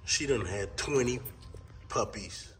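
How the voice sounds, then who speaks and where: A man, chatting casually to viewers about his dog while sick and losing his voice, clearing his throat often. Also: lowish voice, lively intonation, takes it slowly, fairly bright tone, faint music behind. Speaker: dog breeder vlogger. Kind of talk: casual vlog to camera. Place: parked car. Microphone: phone held at arm's length.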